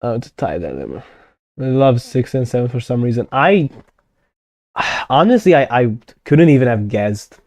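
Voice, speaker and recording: A man's voice: mid-range voice, very animated, moderate pace, darkish tone, dry recording, clean studio sound. A young Hungarian man chatting casually on a live stream, thinking aloud with many fillers and self-corrections as he plans a constructed language. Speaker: conlang streamer.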